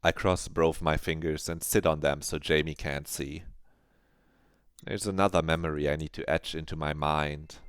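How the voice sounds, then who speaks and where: Deep-voiced man reading a visual novel. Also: smooth. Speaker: streamer.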